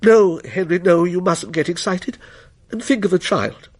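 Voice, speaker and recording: Ghost story mother, acted by a man: raised pitch, swooping delivery, anxious, placating, trying to keep control. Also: animated, moderate pace, slightly rough. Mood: anxious pleading. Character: anxious wife and mother, placating, self-possessed turning pleading. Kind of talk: dramatic ghost story reading.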